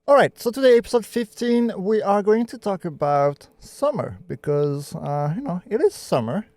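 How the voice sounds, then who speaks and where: A man from Canada hosting a live podcast in casual chat, relaxed and playful. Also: sits high, moderate pace, no echo. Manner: relaxed, playful, thanks viewers warmly. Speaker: podcast host.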